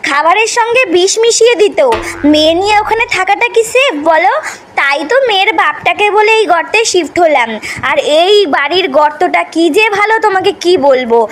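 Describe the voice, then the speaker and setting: A woman voicing a fussy, chatty middle-aged mother mouse in an animated comedy, exclaiming and nagging. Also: very high voice.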